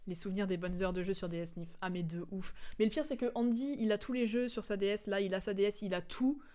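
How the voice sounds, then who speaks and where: Woman, streamer, medium pitch, casual game commentary, chatty, digressive, giggly. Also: fast, warm tone. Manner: chatty, digressive, giggly, acts out quotes in slang. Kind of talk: casual stream commentary recapping a game's story.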